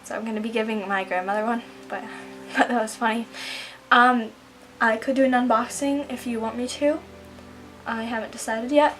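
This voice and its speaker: A woman's voice: a little high, steady tone, normal speed, fairly bright tone, faint music behind, rough recording. A teenage girl vlogging casually from home, chatty, with lots of ums and likes. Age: teens. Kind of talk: casual vlog diary.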